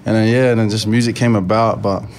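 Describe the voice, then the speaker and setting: A young Australian man, a rapper, chatting in a laid-back, halting way full of slang and fillers. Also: fairly low pitch, fairly even intonation, a little mellow, slight background noise. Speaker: rapper guest. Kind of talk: casual podcast interview.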